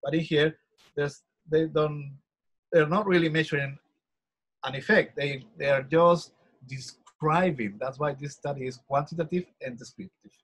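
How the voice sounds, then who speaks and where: Husky man. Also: on the high side, steady tone, moderate pace, rough recording. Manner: explanatory, hesitant with frequent restarts. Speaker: panelist presenting research. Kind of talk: academic talk over slides.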